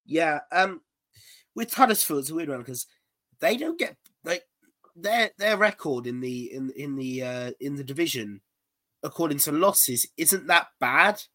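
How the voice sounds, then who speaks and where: A young man chatting about football on a podcast, his voice sitting a little high. He rambles, restarting and repeating words as he goes. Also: animated, unhurried, bright, forceful tone. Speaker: podcast co-host. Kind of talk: casual football podcast chat.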